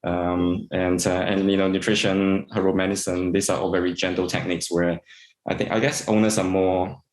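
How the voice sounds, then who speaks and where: A man from Singapore, an integrative veterinarian, answering interview questions in a halting way with many repeated words and fillers. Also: low voice, little pitch movement, echoey room, rough recording.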